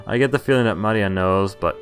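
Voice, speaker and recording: A man's voice: fairly low pitch, quick pace. A man streaming a visual novel, reading the story aloud in first person with casual asides. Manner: reads story text aloud with casual commentary. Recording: game music behind the voice. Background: game music.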